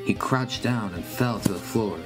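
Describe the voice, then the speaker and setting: Low-pitched man narrating a horror story. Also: measured pace, over music. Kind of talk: scripted first-person horror narration.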